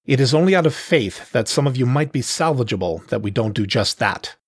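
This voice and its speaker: A man's voice: medium pitch, expressive, brisk, slightly rough, no echo, clean studio sound, voice pushed up. Man voicing a mocking, menacing male panther gang leader. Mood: stern menace. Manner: mocking, theatrical, falsely gentle.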